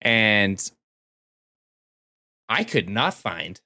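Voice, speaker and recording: Man, lowish voice, podcast co-host, casual conversational style, dry close mic. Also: big pitch swings, drawn-out words, gravelly, bright, forceful tone, dry recording. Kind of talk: casual chat about video games.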